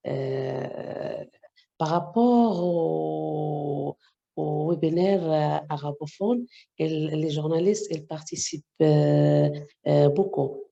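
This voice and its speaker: Halting woman, gravelly dark voice. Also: low voice, lo-fi sound. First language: Arabic. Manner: halting, many hesitations. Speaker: journalist, section editor. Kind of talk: webinar discussion. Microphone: video call.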